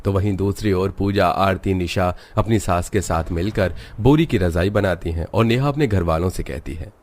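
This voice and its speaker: Low-pitched male story narrator. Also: normal speed, darkish tone. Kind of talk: scripted cartoon story narration.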